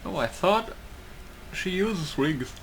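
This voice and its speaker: A man's voice: sits high, expressive, slow, creaky, fairly bright tone, slight background noise. A man giving casual let's play commentary, thinking aloud in a musing way. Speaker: gaming YouTuber.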